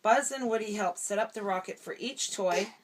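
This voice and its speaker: A woman's voice: mid-range voice, normal speed. Woman, mid-range voice, storybook read-aloud to a young child with chatty asides. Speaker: parent reading a storybook to a toddler.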